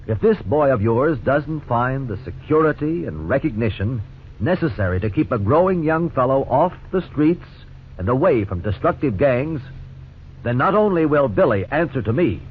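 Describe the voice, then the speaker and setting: An actor playing a stern, admonishing juvenile court judge in a 1950s radio drama. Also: male voice, medium pitch, close to an everyday voice. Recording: tinny old radio broadcast, faint hiss.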